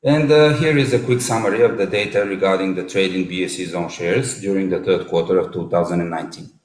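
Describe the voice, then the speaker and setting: A man's voice: low-pitched, fast. A man with a Bulgarian accent reading long strings of figures in a formal results presentation, with an occasional self-correction. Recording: echoey room.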